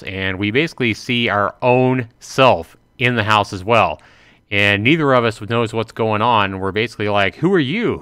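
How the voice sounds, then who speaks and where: A man's voice: fairly low pitch, pushed and bright, clear, resonant tone, no echo, clean studio sound. A man hosting a game livestream, chatting informally to viewers and reacting aloud as he reads along. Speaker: livestream host. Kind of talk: casual game livestream commentary with dramatic read-aloud.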